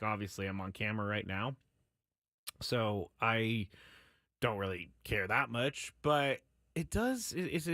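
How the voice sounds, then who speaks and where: A man's voice: a little high, a bit bright, no echo, clean studio sound. A man hosting a sports talk radio show, speaking in a casual, candid, self-deprecating monologue. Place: radio studio.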